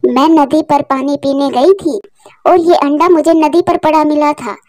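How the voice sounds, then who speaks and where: Cartoon female bird, played by a woman: high-pitched, gentle, anxious, expressive, close dry sound. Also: unhurried, dry recording. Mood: tender, hopeful. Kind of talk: Hindi cartoon story dialogue.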